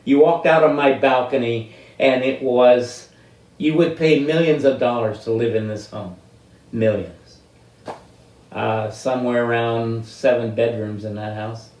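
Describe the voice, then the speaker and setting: Elderly man, slow and halting, roomy sound. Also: medium pitch, a little mellow. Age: 80s. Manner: halting, deliberate, with long pauses and drawn-out words. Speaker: interviewee telling life stories. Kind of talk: unscripted personal storytelling. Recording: roomy, distant microphone.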